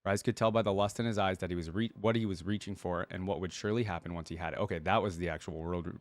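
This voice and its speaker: Man, low voice, expressive fantasy reading, casual asides, dry close sound. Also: fast talker, dry recording. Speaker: reaction video host reading a fantasy story aloud.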